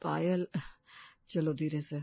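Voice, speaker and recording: A woman's voice: low voice, flat delivery, slow, creaky, no room sound, dull audio, voice pushed down, exaggerated voice. A woman voicing an embarrassed, henpecked young boyfriend who pleads and soothes with resigned patience in an audio drama.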